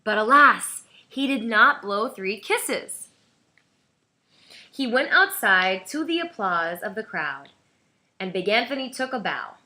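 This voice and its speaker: A woman reading a children's storybook aloud in an animated, dramatic storytelling manner. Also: medium pitch, slow pace. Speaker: volunteer storybook reader.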